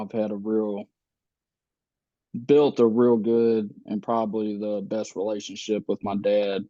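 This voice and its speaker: A man in his thirties, born in the Philippines and raised in the US, telling his life story slowly with many pauses and fillers. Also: lowish voice, warm tone, dry recording, dull audio. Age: 30s. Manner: slow, halting, many fillers and restarts. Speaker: podcast guest.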